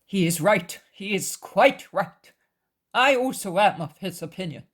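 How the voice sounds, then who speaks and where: Lady doing a worried storybook guy, husky, urgent and emphatic, distrustful. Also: medium pitch, animated, unhurried, voice pushed up. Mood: grave. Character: worried, vehement man, a distrustful loyal cousin.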